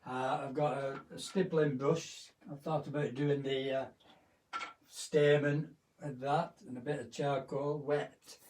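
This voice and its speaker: Hoarse man teaching painting. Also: mid-range voice, little pitch movement, unhurried, darkish tone, distant mic, slight background noise. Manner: chatty, rambling, with fillers. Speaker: art teacher. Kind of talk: live painting demonstration.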